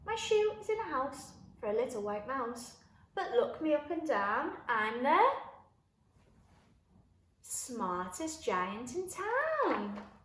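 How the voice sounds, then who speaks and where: A woman's voice: high-pitched, sing-song, slow, a bit bright, full character voice. A woman voicing a kindly, cheerful, generous giant in a children's storybook, hearty, encouraging and sing-song. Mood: proud, sing-song. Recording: roomy echo, faint background noise.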